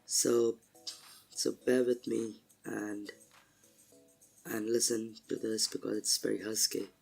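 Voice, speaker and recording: A man with a sore throat and a husky voice, chatting casually as a podcast co-host and slowly searching for his words. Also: lowish voice, little pitch movement, dark tone, soft background music. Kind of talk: casual chat.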